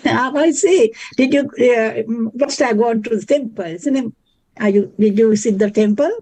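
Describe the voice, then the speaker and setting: A woman taking part in an online class, chatting casually with hesitant, halting phrasing. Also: fairly high pitch, mellow, slightly rough, dry recording. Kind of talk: casual chat. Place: living in London, joining remotely. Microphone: video call.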